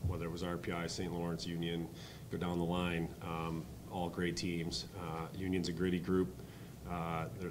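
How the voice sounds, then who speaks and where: A man in his thirties, a head coach answering postgame press questions at a table mic, halting with many ums and uhs. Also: low-pitched, noisy background. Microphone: table mic on stand.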